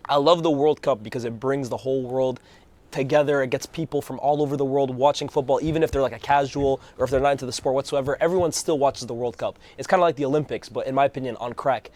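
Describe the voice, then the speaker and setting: A hoarse man co-hosting a casual football chat, telling stories in a fast, animated way. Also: mid-range voice. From Algerian background. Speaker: co-host.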